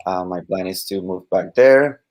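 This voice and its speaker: A man in his thirties from Costa Rica with a Costa Rican accent, a Spanish teacher talking as a guest in a casual live-stream interview, halting with many fillers and restarts. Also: low-pitched, darkish tone.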